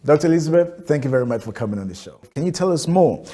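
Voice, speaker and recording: A man hosting a TV interview show, reading measured, scripted introductions. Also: a little high, expressive, dark tone. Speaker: TV show host. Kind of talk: presenting and interviewing.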